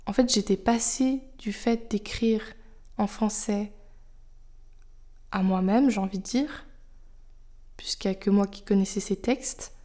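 A young woman with French as her first language hosts a personal podcast, thinking aloud in a soft, confiding way that sometimes sinks nearly to a whisper. Her voice is warm and mellow and sits at a middle pitch. She sounds reflective and unhurried, like someone sharing her own thoughts with listeners. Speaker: podcast host.

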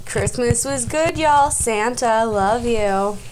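Unhurried Canadian woman, teasing and slangy. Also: on the high side, crowd noise. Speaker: podcast co-host. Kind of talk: casual banter.

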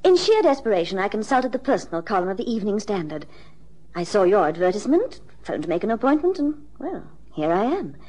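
Wealthy British lady, middle-aged, husky, animated pitch, refined, insistent, radio drama. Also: quick pace, low-quality recording. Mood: eager. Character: wealthy married lady of forty, demanding, frank, captivating.

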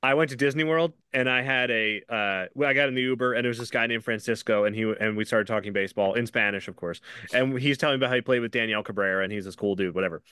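A man co-hosting a podcast who talks quickly in a bright, forceful voice. He rambles through anecdotes and laughs often, recorded dry on a close mic. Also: mid-range voice, smooth, no room sound. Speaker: podcast co-host. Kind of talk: casual podcast chat.

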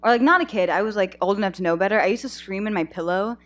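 A woman's voice: medium pitch, very fast, faint music behind. Sarcastic young woman podcasting from a dorm. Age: young adult. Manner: joking, rambling, deadpan sarcasm. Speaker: podcast co-host. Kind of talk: casual chat. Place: college dorm room. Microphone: small headphone mic on a phone.

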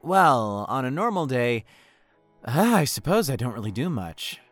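Sweet awkward lovestruck young man, audio drama, pitch jumps around a lot, music under it. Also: sing-song, measured pace, fairly bright tone, no room sound. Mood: easygoing. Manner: hesitant, earnest. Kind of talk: naturalistic audio drama acting.

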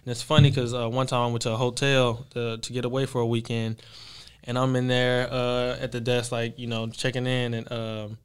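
Relaxed young man with smooth voice. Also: mid-range voice, normal speed. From Texas. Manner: relaxed, rambling, many fillers. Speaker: pro basketball player, guest. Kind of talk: casual interview chat.

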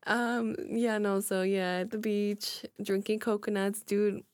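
A woman's voice: mid-range voice, little pitch movement, a little slow, darkish tone, no room sound. A young woman co-hosting a casual chat, teasing and laughing easily, with headphones on at a desk mic. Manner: giggly, teasing. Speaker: podcast co-host.

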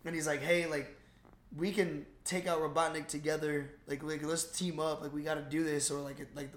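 A young man co-hosting a podcast, recapping a movie in casual, slangy, excitable talk with plenty of swearing. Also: a little high, a little fast. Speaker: podcast host. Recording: roomy, echoing room sound.

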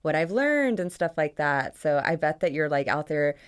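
Low-voiced, effusive young woman podcaster. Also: big pitch swings, moderate pace, clean tone. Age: young adult. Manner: effusive, informal with slang and mild swearing. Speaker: podcast host; photographer. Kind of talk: casual chatty podcast conversation.

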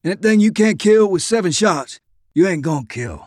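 A man's voice: high voice, expressive, normal speed, no room sound, clean studio sound, voice pushed up. A man voicing a folksy, confident army corporal in an audiobook war story, with a rural Southern American drawl and a colloquial, instructive way of talking. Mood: instructive, approving. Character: folksy, confident soldier corporal, good with guns.

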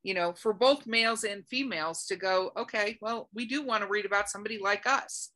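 A woman's voice: medium pitch, brisk, pushed and bright. A woman hosting a podcast, chatty and joking as she interviews an author. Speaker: podcast host.

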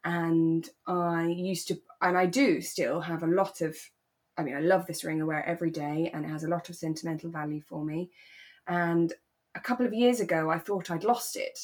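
A woman co-hosting a podcast in relaxed, reflective, conversational chat. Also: lowish voice, normal speed. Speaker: podcast co-host. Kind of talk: relaxed casual chat about a radio drama.

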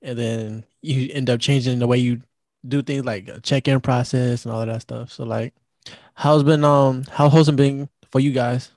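A man's voice: mid-range voice, fairly even intonation, moderate pace, a little mellow, no room sound. A man in his thirties hosting a podcast, talking in a laid-back, slangy way with lots of fillers. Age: 30s. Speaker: podcast host. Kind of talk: casual podcast chat.

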